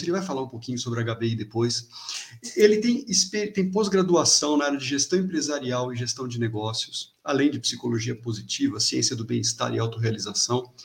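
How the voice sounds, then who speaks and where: A man's voice: mid-range voice, vocal fry. A man hosting a live interview series, giving upbeat, formal welcomes and introductions.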